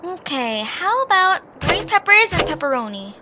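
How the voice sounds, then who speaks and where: A woman's voice: very high-pitched, sing-song, normal speed, very noisy. A woman playing a plucky, slightly sarcastic young adventurer in a fan audio drama. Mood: puzzled, determined. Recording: music clearly behind.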